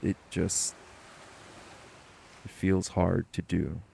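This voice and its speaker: Male actor as subdued shapeshifter boyfriend for audio roleplay, flat intonation, unhurried pace, hesitant with dry humour. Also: deep voice, gravelly, slight background noise, heavily acted. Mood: hesitant, vulnerable. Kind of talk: romantic audio roleplay acting.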